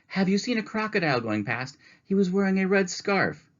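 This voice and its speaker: A man's voice: high voice, very animated, fairly quick, voice pushed up. A man voicing a worried, kindly lady anxiously searching and pleading for help, in a slightly dull recording.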